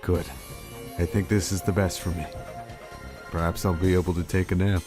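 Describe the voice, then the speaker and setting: A man playing a calm, courteous older gentleman in a game fan dub, speaking in a measured, formal way. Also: deep voice, over music, close to an everyday voice. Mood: gentle, resigned.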